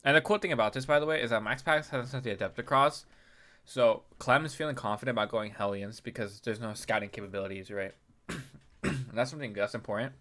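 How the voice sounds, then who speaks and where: Male video host, bright nasal tone, under the weather, casual and chatty breakdown of a match. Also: mid-range voice, brisk. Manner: chatty, clears throat often, restarts. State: sick, slightly nasal. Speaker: YouTube host analyzing a game replay. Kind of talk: casual game analysis commentary.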